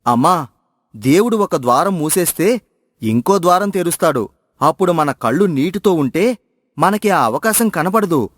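A man voicing a kindly, fatherly temple priest in a moral story, speaking gently with affectionate reassurance. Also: on the high side, expressive, normal speed, long pauses, dry recording, studio quality. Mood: reassuring.

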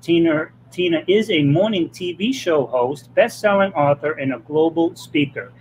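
A man's voice: on the high side, moderate pace, a little mellow, a little hiss or hum, rough recording. A middle-aged man with a Boston accent hosting a live-stream interview show, chatty and jokey, speaking over a webcam from a home office. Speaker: host. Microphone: webcam on video call.

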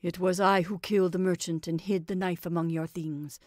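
A guilt-ridden male convict, read by a woman in a storybook, begging desperately for forgiveness. The voice sits higher and much louder than her narration. The sound is close and dry. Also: steady tone, normal speed, no echo, close to an everyday voice. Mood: pleading. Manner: imploring, desperate.

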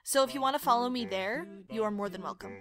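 A woman's voice: on the high side, quick pace, crowd noise. A woman chatting casually to her followers as the creator of an audio drama.

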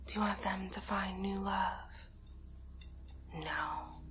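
A young adult woman playing a vengeful, soothing witch casting a spell in a breathy whisper, as an ASMR fantasy roleplay. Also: medium pitch, steady tone, noisy background, natural voice. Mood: spiteful. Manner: slow, incantatory, repeating phrases. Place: bedroom, indoors.

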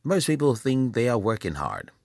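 A man from Nigeria, reading a scripted motivational voice-over in a measured, emphatic, preacherly way. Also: medium pitch, huge pitch swings, gravelly, darkish tone, no echo, studio quality. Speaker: channel host.

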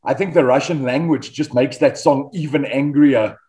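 A man's voice: medium pitch, moderate pace. A man in his thirties with a South African accent, an Afrikaans speaker, chatting relaxedly about his band over a video call. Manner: relaxed storytelling, frequent ums and restarts. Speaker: metal band vocalist, guest. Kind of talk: casual video-call interview. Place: home studio with band posters. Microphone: desk microphone on video call.

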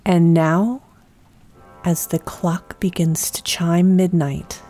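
A woman hosting an audio drama podcast, reading a scripted introduction in a measured, hushed manner. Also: fairly low pitch, fairly even intonation, soft background music. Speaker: podcast host and producer.

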